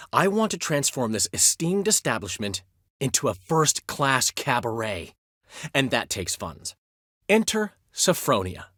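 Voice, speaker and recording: A man's voice: medium pitch, no echo, studio quality. An actor playing a flamboyant, vain and charming male bard in game dialogue, theatrical, wry and boastful. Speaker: game character.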